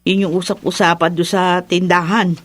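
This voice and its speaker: A woman's voice: lowish voice, flat delivery, moderate pace, lightly acted. Woman playing an eager village gossip. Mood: curious. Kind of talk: Filipino horror radio drama acting.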